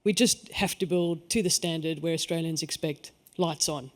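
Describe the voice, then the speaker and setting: A man's voice: fairly high pitch, moderate pace. A man with a South African accent speaking on a conference panel, stressing key words and then letting his voice fall away. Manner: emphatic, lifts his voice on key points then trails off. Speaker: energy industry panelist.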